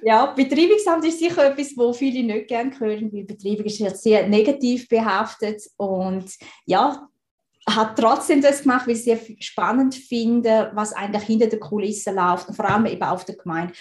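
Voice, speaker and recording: Swiss woman in her 30s, bit high voice, chatty, echoey room. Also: moderate pace, darkish tone. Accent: Swiss German. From Switzerland. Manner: chatty, laughs easily, frequent restarts. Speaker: guest, recruiting consultant. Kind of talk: casual interview talk. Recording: roomy echo.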